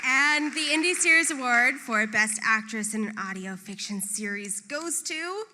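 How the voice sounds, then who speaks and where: A woman's voice: a little high, animated, moderate pace, bright, forceful tone, a faint crowd, a little hiss or hum. A woman presenting awards at a live ceremony on a theatre stage. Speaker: voiceover artist.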